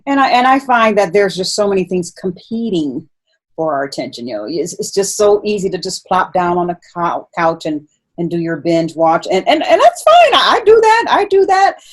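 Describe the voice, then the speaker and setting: A woman with a slightly low voice hosts a casual interview. She speaks earnestly, often repeating a phrase to drive it home. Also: sing-song, normal speed. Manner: earnest, repeating words for emphasis. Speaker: host, interviewer.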